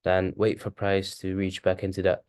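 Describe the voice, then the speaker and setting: A man whose first language is Dutch, casually thinking aloud through a chart walkthrough, halting and correcting himself as he goes. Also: sits low, completely flat delivery, a little mellow, smooth, no echo, clean studio sound. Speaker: forex trader.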